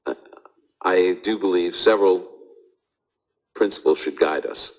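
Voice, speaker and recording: A man's voice: low-pitched, poor audio. A man hosting a live Bible question show, answering thoughtfully with frequent restarts and hedges. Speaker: radio host answering audience questions.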